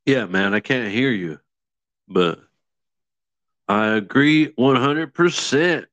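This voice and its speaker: A man's voice: mid-range voice, slow, fairly bright tone, no room sound. A man co-hosting a casual, unscripted chat over a dull, lo-fi microphone.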